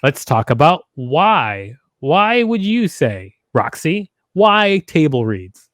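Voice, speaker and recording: A man's voice: a little high, big pitch swings, takes it slowly, fairly bright tone, dry recording. Man hosting, close dry sound. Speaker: podcast host. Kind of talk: conversational instructional podcast talk. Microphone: close studio microphone with foam windscreen, headset on.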